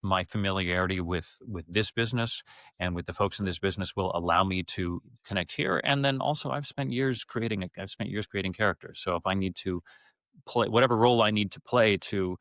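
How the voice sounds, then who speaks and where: A middle-aged man with a fairly low voice talks as a guest in an interview. He rambles and halts, doubling back on his words as he explains things. The sound is very dry, with no room around it. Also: a bit bright, dry recording. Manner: rambling, halting, repeats words. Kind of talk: conversational interview answers.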